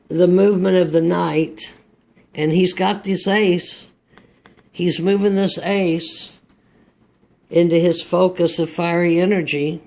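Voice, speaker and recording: Male tarot reader, Texas accent, fairly high voice, unhurried and rambling. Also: creaky, a little mellow. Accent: Texan. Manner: slow, meandering, reflective delivery. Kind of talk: tarot card reading.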